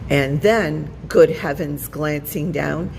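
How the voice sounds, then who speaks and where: A woman's voice: low-pitched, takes it slowly, creaky, a little mellow, long reverb tail, slight background noise, poor audio. A woman narrating a children's storybook aloud as a storytime reader.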